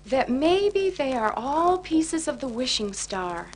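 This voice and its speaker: Lady doing a kind hopeful little bear, gentle and high, kids story on a faintly noisy record. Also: very animated, slow pace, exaggerated voice. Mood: dreamy, hopeful. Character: kindly, hopeful wish-making cartoon bear. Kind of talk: children's storybook character voice. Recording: noisy 1980s children's record.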